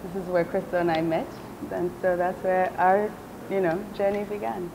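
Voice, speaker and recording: A woman's voice: fairly low pitch, flat delivery, moderate pace, vocal fry. Upbeat young woman co-hosting. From Africa. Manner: upbeat, laughs often. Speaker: co-host. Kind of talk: casual talk-show chat. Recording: noisy room with hum.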